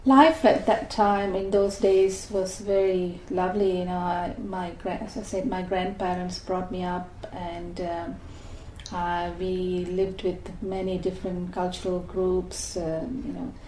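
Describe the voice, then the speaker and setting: A woman in her sixties from Malaysia, recalling her life thoughtfully in an oral history interview, with many pauses and restarts. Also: fairly low pitch, little pitch movement, a little mellow, reverberant, a little hiss or hum, low-quality recording. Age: 60s.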